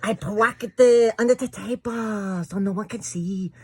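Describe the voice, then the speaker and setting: Man reading a storybook, slow and breathy. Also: high-pitched. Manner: put-on accent in quoted lines, slow and laughing. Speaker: father reading a picture book to his toddler. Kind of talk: reading aloud a children's storybook at bedtime. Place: small bedroom at home, indoors. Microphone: phone camera held close.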